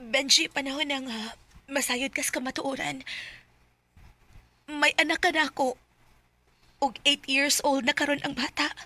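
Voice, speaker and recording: High, bright-voiced confessing single mother. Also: steady tone, a little slow, long pauses. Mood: urgent, resolute. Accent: Cebuano. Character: ashamed, resolute single mother confronting old friend. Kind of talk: Filipino Bisaya radio drama acting.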